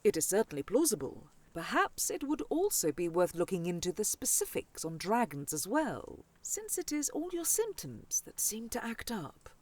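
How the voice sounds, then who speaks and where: Lady voicing a snooty older dragon scholar aunt, fantasy audio drama, very animated, dry close sound. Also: mid-range voice, normal speed, few pauses, dry recording. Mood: stately, helpful. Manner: haughty, grandly formal.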